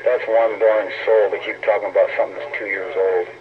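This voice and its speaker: A man's voice: fairly low pitch, steady tone, fast, a crowd in the background. A man trash-talking in a heated, casual CB radio argument, profane, taunting and sarcastic. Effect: CB radio transmission. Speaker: CB radio operator in an on-air argument. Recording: tinny narrow-band CB radio, noisy static.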